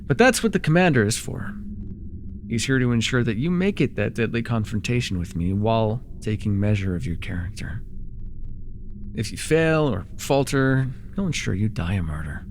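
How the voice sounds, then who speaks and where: A man acting a smug, seductive and menacing sorcerer ruler in a fantasy ASMR roleplay, with a theatrical, teasing, deliberate delivery. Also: fairly low pitch, animated, a little hiss or hum. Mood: mocking, insinuating. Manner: theatrical, teasing, deliberate, with murmured asides and sudden force.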